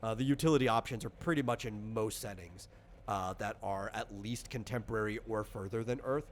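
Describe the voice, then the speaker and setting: A man's voice: on the low side, fairly quick, a little hiss or hum. A man in the role of game master, casually explaining tabletop game rules in a rambling, conversational way with lots of asides.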